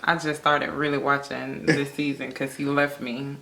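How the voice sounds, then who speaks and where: Low-voiced American woman in an echoey room. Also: level tone, normal speed, vocal fry, fairly bright tone. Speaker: vlog co-host, movie reviewer. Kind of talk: casual conversational review. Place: small indoor room with bare wall.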